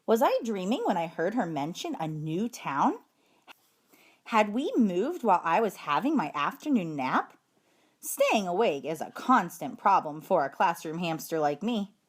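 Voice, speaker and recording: Lady reading a kids book out loud, really expressive, voice goes up and down a lot. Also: on the low side, measured pace, husky. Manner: animated, emphatic storytelling. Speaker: storybook reader, teacher. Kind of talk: expressive read-aloud of a children's story.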